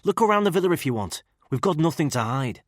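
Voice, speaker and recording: A man voicing a smooth-talking, chummy ex-paratrooper with a Scouse accent, pitched well above his own husky, low narrating voice. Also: big pitch swings, quick pace, dry recording, studio quality. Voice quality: oozy, chummy. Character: affable scouse ex-para male, smooth-talking.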